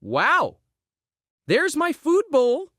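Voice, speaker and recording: Lady doing an eager little pet dog from a kids story, high and bouncy, super exclamatory. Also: huge pitch swings, extremely slow, fairly bright tone, no room sound, studio quality, heavily acted. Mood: overjoyed. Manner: exclamatory, eager, wide-eyed. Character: excitable, devoted little pet dog narrating his adventure.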